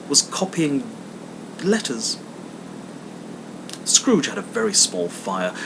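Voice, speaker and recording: A man narrating a classic story theatrically, with dramatic, emphatic phrasing and steady background noise. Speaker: audiobook reader. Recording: clear background noise, low rumble.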